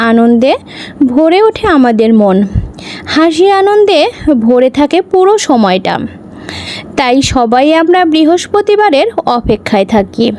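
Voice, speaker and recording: A woman storyteller with a high-pitched voice. Her intonation moves widely as she reads a scripted children's tale in short, separated phrases. Some background noise sits behind the voice. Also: a little mellow. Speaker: storyteller, channel host.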